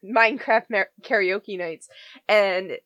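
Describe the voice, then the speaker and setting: A woman's voice: mid-range voice, steady tone, slow pace, a bit bright, no room sound, highs cut off. Woman cohost recounting her childhood, loose and chatty, dry close mic. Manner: storytelling, many "like" fillers, laughs often. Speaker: podcast cohost. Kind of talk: casual chat.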